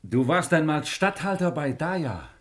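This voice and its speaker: An actor playing a world-weary but affable Roman courtier in a 1950s radio play, genial and rambling. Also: male voice, on the high side, sing-song, normal speed. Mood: affable, explaining.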